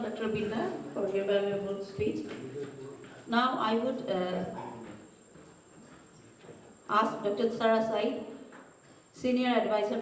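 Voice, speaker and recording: A woman acting as emcee, formally thanking one speaker and introducing the next. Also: a little high. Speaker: event emcee. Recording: echoey hall, distant, clear noise.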